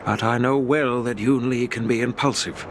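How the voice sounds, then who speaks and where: A stern, fair-minded man of authority in a video game, played by an actor. His mid-pitched voice is formal and composed, over clearly audible background noise. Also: steady tone, normal speed, close to an everyday voice. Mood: grave, composed.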